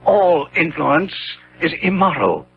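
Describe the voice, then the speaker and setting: A decadent, worldly lord with a high, very animated voice. He is suave and cynical, delivering his epigrams theatrically. It is a slightly noisy vintage radio drama recording. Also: takes it slowly, gravelly, phone-quality sound, exaggerated voice. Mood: amused provocation. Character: dissolute, cynical Victorian lord and worldly tempter. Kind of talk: 1970s radio drama acting.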